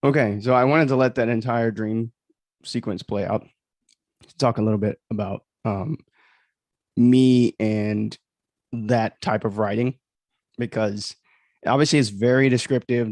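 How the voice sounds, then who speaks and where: A man's voice: fairly low pitch, a little mellow, dry recording. A man hosting a casual livestream, commenting on his own creative work in a conversational, reflective way, thinking aloud as he goes. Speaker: livestream host and author.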